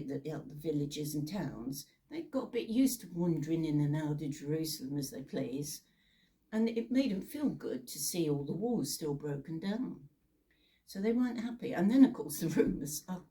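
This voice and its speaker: A woman's voice: deep voice, dark tone. A British woman telling Bible stories in a chatty, informal way, with theatrical asides and rhetorical questions. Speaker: storyteller.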